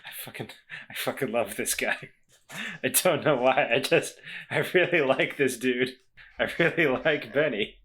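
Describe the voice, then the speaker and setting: A man's voice: mid-range voice, normal speed, a bit bright. A man playing a tabletop game, offhand and enthusiastic, with some swearing. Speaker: player.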